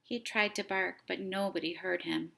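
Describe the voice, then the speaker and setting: Expressive woman reading a children's story, bright voice. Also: on the low side, gravelly. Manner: dramatic, deliberate phrasing. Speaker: storytime host reading a picture book aloud.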